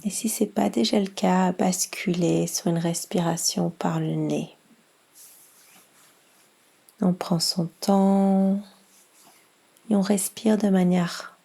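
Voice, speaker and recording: A woman leads a guided relaxation in a soft, mellow voice. Her delivery is very slow and soothing, with breathiness throughout. She gives calm instructions as a meditation teacher would. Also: on the low side, creaky, breathy edge. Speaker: yoga nidra instructor and guide.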